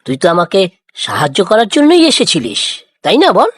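A woman voicing a kind, patient village farmer father in a moral cartoon, gently coaxing and reassuring. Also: mid-range voice, wildly swooping pitch, brisk, breathy edge, no room sound, clean studio sound. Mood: gently consoling. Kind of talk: Bengali moral cartoon dialogue.

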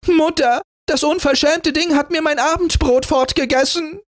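A man voicing a vain, spiteful young woman in a fairy tale who scolds in a shrill voice. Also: expressive, a little slow, no room sound, studio quality. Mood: furious.

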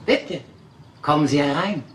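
An actress playing a poised, gracious aging film star in a radio play. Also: female voice, sits low, lively intonation, a little slow, slight background noise. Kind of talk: German radio play acting.

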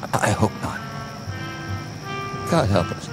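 An actor playing a shaken, conscience-stricken army lieutenant in a radio drama, speaking hesitantly and haltingly. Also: male voice, loud music behind the voice, lightly acted.

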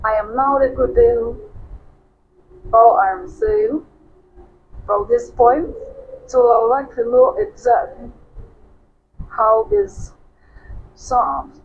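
A man's speech turned by an AI voice changer into a woman's voice, slow and halting, with words partly garbled. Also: very high voice, creaky, voice pushed up, heavily acted. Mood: flat. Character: synthetic adult woman's voice from a voice changer. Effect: AI voice-changer conversion. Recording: muffled lo-fi playback with faint hiss.

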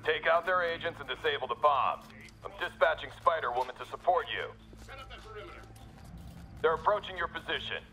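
A man's voice: on the high side, animated, moderate pace, long pauses, bright, forceful tone, slight background noise, low-quality recording. An actor playing a commanding male military hero in a video game, giving terse, urgent orders. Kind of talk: video game voice acting, mission radio orders.

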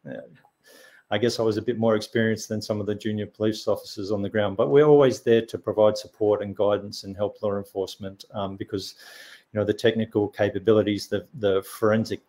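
A man answering on a video call panel, earnest and halting, chuckling at times. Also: fairly low pitch, steady tone, mellow. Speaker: cyber security executive panelist. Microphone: video call webcam.

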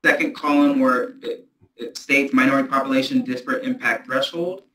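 A man gives a formal staff presentation to a board over a video call. His bright voice rings in an echoey room with faint noise behind it, and he speaks in a measured, procedural way. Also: mid-range voice, steady tone. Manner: measured, procedural, restarts often. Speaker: transit agency staff presenter.

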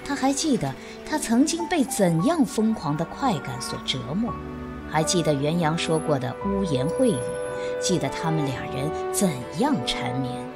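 A woman giving scripted, measured narration for a radio drama. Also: lowish voice, very animated. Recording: background music.

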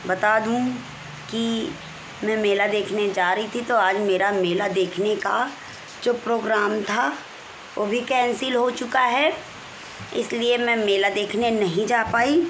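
A woman in her forties with a Kanpur Hindi accent, hoarse and husky, chatting in a rambling way into a phone held close in a small room at home, clearing her throat often. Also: medium pitch, fairly even intonation, slow, long pauses, slight background noise, poor audio. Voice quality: husky, strained voice. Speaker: vlogger. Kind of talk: casual home vlog. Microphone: phone camera held close, selfie framing.

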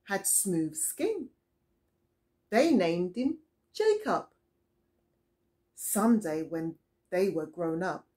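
A middle-aged woman reads a Bible story aloud for young children. Her pace is slow and deliberate, and her pitch moves in lively swings as she tells it expressively. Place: small indoor room with soft toys.

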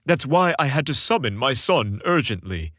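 Man putting on a booming old Santa Claus. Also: sing-song, normal speed, pushed and bright, dry recording, clean studio sound. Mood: grave, worried. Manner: booming, emphatic. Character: jovial booming old Santa Claus, grave when worried.

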